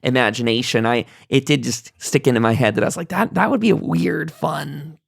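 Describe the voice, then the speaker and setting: A podcast host with a creaky, mid-pitched voice moderates a casual gaming roundtable. The delivery rambles as the thoughts form, in a dry, close recording. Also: fairly quick, no room sound. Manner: rambling, thinking aloud. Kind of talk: casual roundtable discussion of video games.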